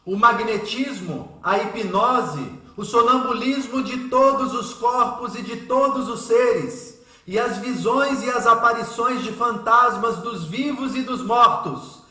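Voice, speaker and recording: Man playing earnest humble preacher-son, radio drama, high bright voice, formal, didactic. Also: slow, heavily acted. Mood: fervent, declaiming. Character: earnest, humble Spiritist orator and devoted son. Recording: roomy echo.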